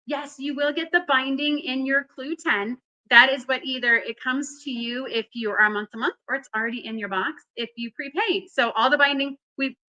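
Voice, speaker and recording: Bubbly high-voiced woman hosting a livestream. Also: sharp tone, poor audio. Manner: bubbly, effusive. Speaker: host of a live quilting event. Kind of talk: livestream chat.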